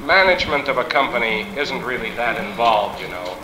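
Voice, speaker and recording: A man giving a measured, articulate speech at a business meeting, on 1960s reel-to-reel tape. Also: mid-range voice, animated, roomy sound, background noise. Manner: measured, articulate, formal wording. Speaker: business speaker. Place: meeting room.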